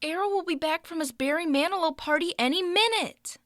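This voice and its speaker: A woman's voice: extremely high pitch, fairly quick, a bit bright, no echo, studio quality. An actress playing an upbeat, take-charge girl who leads a club in a children's audio story.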